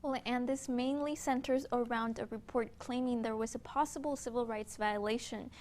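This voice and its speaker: A woman TV news reporter delivering a field report with crisp broadcast delivery. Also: on the high side, fairly even intonation, normal speed, darkish tone, a little hiss or hum.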